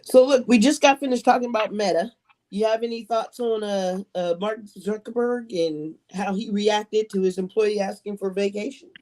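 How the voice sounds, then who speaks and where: A man's voice: sits high, fairly even intonation, normal speed, darkish tone, poor audio. A man hosting a casual panel chat, laid-back and full of slang. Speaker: panel host.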